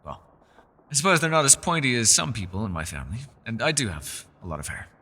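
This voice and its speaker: A man voicing a centuries-old elf in a fantasy roleplay, gentle, socially awkward and chatty, with formal, old-fashioned, apologetic phrasing. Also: medium pitch, big pitch swings, fast, exaggerated voice. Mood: amused, chatty. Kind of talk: fantasy ASMR roleplay voice acting.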